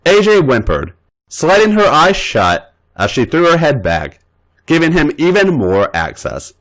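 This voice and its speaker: A man reading fan fiction aloud as a podcast host, narrating in an expressive way. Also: unhurried, poor audio. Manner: voices characters' lines with big pitch jumps.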